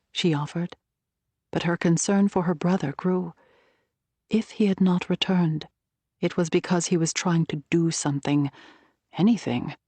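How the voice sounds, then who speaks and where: A woman's voice: fairly low pitch, darkish tone, breathy edge, no room sound. A woman reading a historical thriller audiobook in a scripted, dramatic narrating style.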